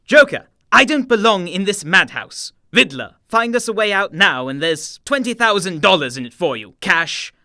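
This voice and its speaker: A pompous, rich crime boss in a radio drama, high and piercingly sharp. He is fussy, impatient and indignant, his pitch swinging widely. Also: big pitch swings, moderate pace, hardly any pauses, full character voice. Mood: impatient, anxious.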